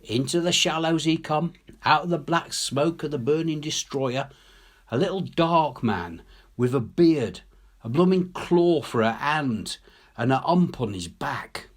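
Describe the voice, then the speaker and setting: Man acting a rough, animated soldier. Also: fairly high pitch, a little slow, voice pushed up. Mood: grim, vivid. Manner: colloquial, ungrammatical, animated storytelling. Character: working-class English soldier, vivid, wry, awed storyteller of Dunkirk. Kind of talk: dramatic audiobook reading.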